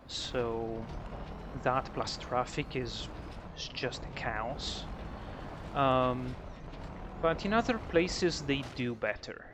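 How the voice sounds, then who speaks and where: A man from northern Italy, chatting casually and hesitantly to his viewers during a game stream, with lots of ums and restarts. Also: medium pitch. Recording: steady background noise.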